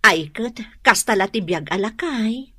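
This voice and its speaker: A woman playing a pregnant young wife who gently reasons and coaxes, in an Ilocano radio drama. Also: medium pitch, expressive, a little slow, slightly rough, no echo, heavily acted. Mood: coaxing.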